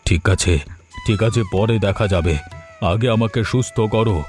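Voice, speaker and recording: A man voicing a greedy, powerful village headman in a cartoon, talking in a quick, anxious, demanding way. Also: fairly low pitch, a little mellow, soft background music, close to an everyday voice. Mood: fretful. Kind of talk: cartoon voice acting.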